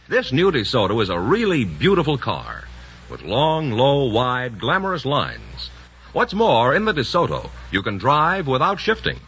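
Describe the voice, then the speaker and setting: Bright, animated American vintage radio announcer. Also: male voice, mid-range voice, slightly rough, highs cut off. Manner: polished, emphatic salesmanship. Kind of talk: 1950s radio commercial announcing. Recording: band-limited old radio broadcast.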